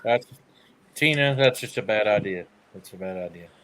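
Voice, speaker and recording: A man's voice: fairly low pitch, animated, moderate pace, fairly bright tone, low-quality recording. A man joking around and teasing others in a casual group video chat.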